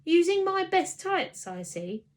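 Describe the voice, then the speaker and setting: A woman voicing an excitable, stern and dramatic mother in a children's story. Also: very high-pitched, measured pace, pitched above the performer's own voice, full character voice. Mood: stern, exasperated. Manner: shrieking in panic, then sternly exasperated.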